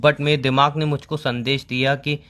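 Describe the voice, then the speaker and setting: Young male, boyfriend in a relationship spat; insistent, halting, repetitive, mid-pitched conversational delivery. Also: little pitch movement, pushed and bright. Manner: insistent, halting, repeats himself. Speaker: boyfriend in a phone argument. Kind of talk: informal relationship argument, conversational.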